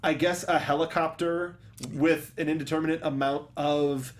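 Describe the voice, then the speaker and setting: A man's voice: fairly high pitch, steady tone, moderate pace. A man co-hosting a movie review show in casual banter, with a sarcastic, jokey manner. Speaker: podcast co-host.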